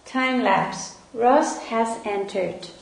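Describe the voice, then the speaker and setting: A woman's voice: on the high side, extremely slow, gravelly, heavy background noise. A woman with a Spanish accent narrating stage directions for an amateur script reading. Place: wooden-floored room indoors. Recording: very echoey room.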